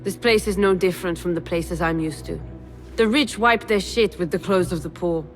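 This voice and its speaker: A woman's voice: on the low side, moderate pace, faint music behind, close to an everyday voice. Woman playing a blunt, scornful assassin.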